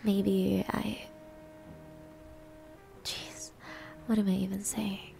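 A woman acts out a proud tsundere maid in an ASMR roleplay. Her medium-pitched voice is soft, breathy and creaky, often dropping to a whisper. She sounds coy, grudging and flustered. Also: flat delivery, drawn-out words, soft background music, exaggerated voice.

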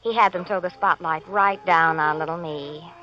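A woman's voice: lowish voice, moderate pace, bright, forceful tone. An actress playing a vain, dim young beauty queen who gushes and mangles big words, in 1940s radio style. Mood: vain, gushing. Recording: dull vintage radio recording.

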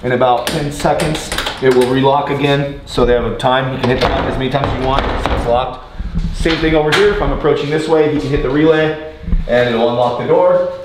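A man's voice: mid-range voice, brisk. A man in his thirties giving an informal, matter-of-fact, step-by-step walkthrough as an installing contractor. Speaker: installing contractor demonstrating equipment. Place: building entrance, lobby and front office. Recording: noisy on-site recording with clicks.

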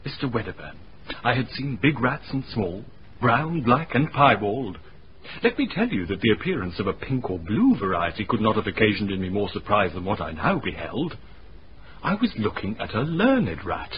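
An actor playing a genteel, witty gentleman telling his story in a radio drama, in a wry, wordy, polite manner. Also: fairly low pitch, very animated, moderate pace. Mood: dryly amused. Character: genteel, witty gentleman recounting his eerie castle stay. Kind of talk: radio drama narration and acting. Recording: dull radio recording, faint noise.

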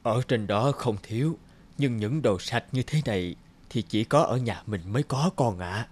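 A man playing a humble, middle-aged country father in a cartoon, speaking gently and haltingly. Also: medium pitch, husky, lightly acted. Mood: wistful, concerned. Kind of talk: cartoon dialogue acting.

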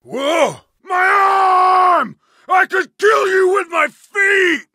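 An actor playing a brutal bearded berserker warrior in a video game, bellowing taunts and pained cries. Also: male voice, very high-pitched, very slow, dry recording, clean studio sound, full character voice. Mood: fierce. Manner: bellowing, taunting battle shouts. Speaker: game character. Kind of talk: video game combat barks.

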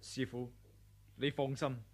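A man's voice: medium pitch, flat delivery, slow, darkish tone, breathy edge. An actor playing a loyal, earnest young male disciple in a 1980s radio drama, respectful and plain-spoken. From Hong Kong. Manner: respectful, earnest, alarmed when attacked.

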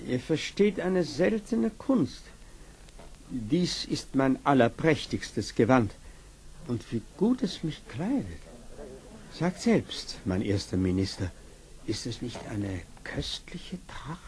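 Casting: preening fairy-tale emperor, pompous, unhurried, with sweeping melodic swings. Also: male voice, medium pitch, very animated, a little mellow. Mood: vain, delighted. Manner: pompous, self-satisfied. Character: vain, pompous emperor admiring himself. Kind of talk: German fairy tale audio play acting.

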